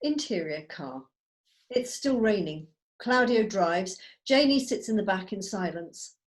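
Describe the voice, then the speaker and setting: A woman narrates a screenplay, reading out scene headings and stage directions. Her voice sits at an average pitch for a woman. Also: animated, unhurried. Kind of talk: scripted narration. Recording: video call.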